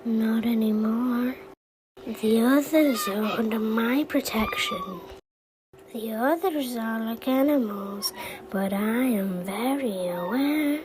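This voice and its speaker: A woman's voice: a little high, sing-song, slow pace, background noise. A woman voicing a wary, protective animatronic from a horror game in a defiant, theatrical way. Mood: defiant, guarded. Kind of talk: fan recreation of horror game voice lines.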